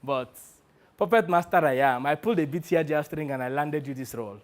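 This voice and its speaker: A man playing a brash, wheedling, money-minded talent agent with a Nigerian accent in a dramatic monologue, animated and full of exclamations. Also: on the high side, quick pace, close to an everyday voice. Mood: boastful, jovial. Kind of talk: one-person dramatic monologue.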